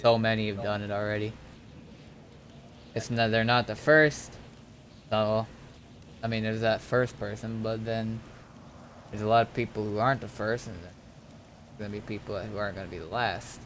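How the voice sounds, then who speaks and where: A man's voice: lowish voice, steady tone, moderate pace, long pauses, fairly bright tone, slight background noise. A man casually guiding newcomers through an online game, explaining step by step and somewhat hesitantly. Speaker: experienced game server player guiding newcomers.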